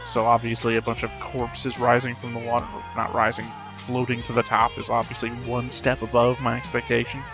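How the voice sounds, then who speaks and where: A man giving unscripted reaction commentary as a host, rambling as he thinks out loud. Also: medium pitch, monotone, a little fast, hardly any pauses, over music.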